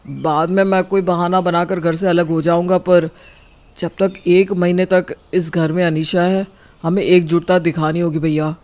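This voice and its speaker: A woman's voice: lowish voice, level tone, normal speed, a little mellow, natural voice. A woman voicing a casual, persuasive young husband and younger brother in a cartoon. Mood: confiding. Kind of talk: cartoon dialogue.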